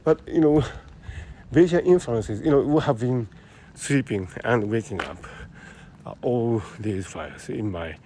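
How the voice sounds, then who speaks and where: A man with a Japanese accent thinking aloud in a halting monologue while walking city streets, speaking into a phone, full of fillers and restarts. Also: medium pitch, animated, dark tone, slight background noise. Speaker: podcast host. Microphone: phone held close.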